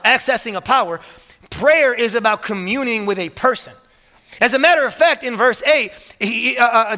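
A male pastor preaching with energy, his voice high and bright as he presses each point and repeats key words. His sermon rings out with a long reverb in a large hall. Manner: energetic, repeats words, rising to emphatic peaks.

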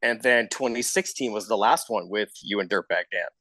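A man's voice: on the low side, moderate pace, pushed and bright, no room sound, low-quality recording. A man hosting a casual interview, informal and encouraging as he talks. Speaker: show host, interviewer.

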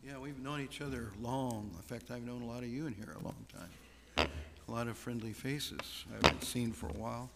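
A man's voice: medium pitch, gravelly, very noisy. A man preaching as a guest in a church, talking in a big voice with many fillers and restarts. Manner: loose, halting delivery with many fillers and restarts. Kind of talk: sermon. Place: church hall. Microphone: microphone on a sound system. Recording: church hall with long reverb.

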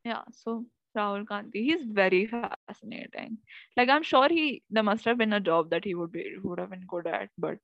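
A woman's voice: mid-range voice, normal speed, creaky, dry recording. A young woman chatting casually, filling her talk with "like" and "you know" as she leads the questions. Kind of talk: casual chat. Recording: dull lo-fi sound.

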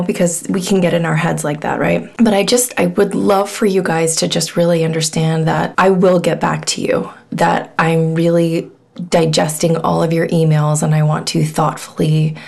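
A woman's voice: lowish voice, steady tone, moderate pace, gravelly, a little mellow. A woman hosting her own podcast with a head cold, so her voice is nasal and congested. She talks in an earnest, rambling way, full of fillers. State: has a cold. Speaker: podcast host. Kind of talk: casual solo podcast talk.

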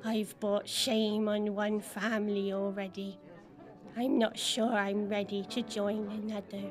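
A woman playing a small, young, hopeful mollusc in an audio drama, earnest, tentative and soft-hearted. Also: medium pitch, little pitch movement, a little slow, natural voice. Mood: downcast, unsure. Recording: music behind the voice.

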